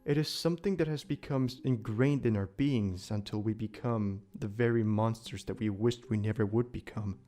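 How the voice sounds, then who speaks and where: A man playing a calm, solemn immortal demon protector in an audio drama, speaking in formal, measured, archaic phrasing. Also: on the low side, soft background music, lightly acted. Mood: earnest, brooding. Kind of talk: audio drama character acting.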